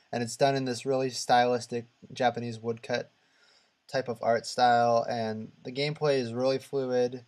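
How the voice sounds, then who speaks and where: A man co-hosting a casual gaming podcast, talking in a halting, rambling and opinionated way. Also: medium pitch, darkish tone. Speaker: podcast co-host.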